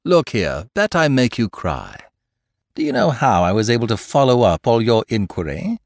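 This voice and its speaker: Guy putting on a smug playful thief from an old novel, mocking tone, pitch jumping all over, dry recording. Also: huge pitch swings, moderate pace, vocal fry, no room sound. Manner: teasing, theatrical swings in pitch. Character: cocky male gentleman thief, mocking and playful. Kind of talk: audiobook character reading.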